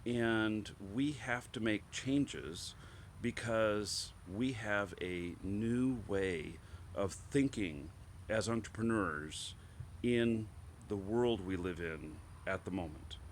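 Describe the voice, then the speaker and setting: A man speaks in a low voice that is slightly stuffed up with a cold. He talks casually to his viewers as a show host, thinking aloud with halting, emphatic phrasing. Faint noise sits behind him. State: has a head cold, clearing throat. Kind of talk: casual opinion monologue to viewers.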